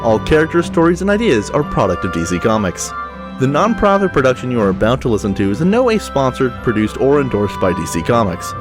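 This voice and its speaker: Man announcing credits, lively delivery over music. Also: medium pitch, fast talker. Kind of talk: scripted credits narration. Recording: music behind the voice.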